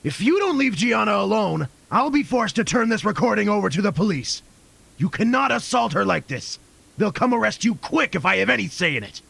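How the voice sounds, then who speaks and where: A man's voice: high-pitched, very animated, brisk, gravelly, a bit bright, slightly rough, full character voice. A man playing a charming, confident, protective boyfriend in an audio drama. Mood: angry, protective.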